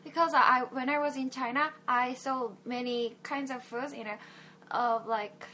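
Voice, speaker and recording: A high-pitched woman speaks English with a Korean accent, curious and chatty. She keeps asking questions, hesitating with fillers and murmuring agreement as she listens. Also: fairly even intonation, measured pace, sharp tone. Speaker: conversation partner. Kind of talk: casual chat.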